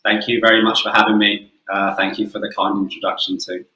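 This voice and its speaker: A man's voice: lowish voice, little pitch movement, brisk, roomy sound, background noise. A middle-aged man with an English accent, a football coach giving an earnest presentation with frequent fillers. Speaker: football coach and guest speaker. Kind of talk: presentation talk.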